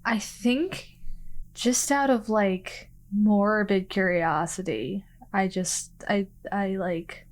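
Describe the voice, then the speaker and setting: A woman chatting casually as a player in a tabletop game, describing her moves in hesitant, halting phrases. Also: medium pitch. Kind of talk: casual tabletop roleplay chat.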